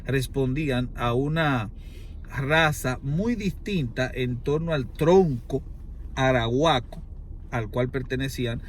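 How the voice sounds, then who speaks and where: A man's voice: medium pitch, takes it slowly. A Dominican man explaining his argument at length in conversation, in a formal, erudite and oratorical way with emphatic repetition. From Dominican Republic.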